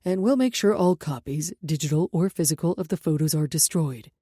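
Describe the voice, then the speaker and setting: Protective man, low and soothing, voiced by a female narrator, calm, dry close sound. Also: brisk, breathy edge, no room sound. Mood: tender. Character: protective male bodyguard, steady and tender.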